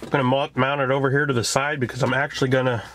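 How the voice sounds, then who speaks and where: Guy doing a diy how-to, short plain steps, mid pitch, some outdoor noise behind him. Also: little pitch movement, rapid-fire, fairly bright tone. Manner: plainspoken, short step-by-step phrases. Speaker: DIY vlog host. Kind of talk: casual hands-on how-to narration. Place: outdoors in a yard and in a parked vehicle. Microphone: handheld camera at arm's length. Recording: clear ambient noise from handheld camera.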